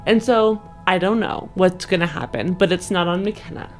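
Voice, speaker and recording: A woman's voice: lowish voice, normal speed. A young woman in a casual, emphatic discussion of a game story, speaking informally with lots of "like". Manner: informal, emphatic, frequent filler "like". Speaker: podcast panelist and tabletop game player. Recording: music playing behind the voice. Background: background music.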